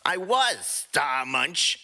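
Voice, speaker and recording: A man's voice: on the high side, big pitch swings, drawn-out words, pushed and bright, exaggerated voice. A man voicing a small, defensive raccoon troublemaker in a comic fan fiction reading, arguing indignantly.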